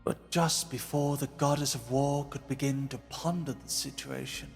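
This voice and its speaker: A man's voice: mid-range voice, steady tone, moderate pace, vocal fry. A man narrating a fantasy tale in a dramatic, scripted style, solemn and portentous. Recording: long reverb, music behind.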